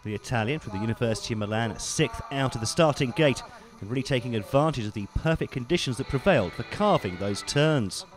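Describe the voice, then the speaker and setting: A man giving polished, scripted voice-over commentary on ski race highlights. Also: lively intonation, soft background music. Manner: measured, polished broadcast delivery. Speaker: sports commentator.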